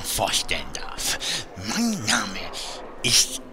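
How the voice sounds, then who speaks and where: Listigen, schleppend redenden Goblin-Erfinder. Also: male voice, on the low side, wildly swooping pitch, over music, full character voice. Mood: sly, ingratiating. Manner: halting, drawn-out delivery with sly chuckling. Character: shifty, cowardly goblin inventor and chief engineer. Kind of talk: fantasy parody radio play.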